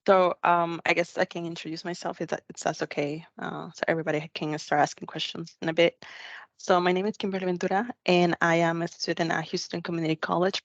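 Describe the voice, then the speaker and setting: Low-voiced young woman, hesitant. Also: little pitch movement, fast talker, no echo. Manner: hesitant, many fillers. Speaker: intern, student. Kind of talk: self-introduction on a panel. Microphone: video call. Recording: dull, dry video call audio.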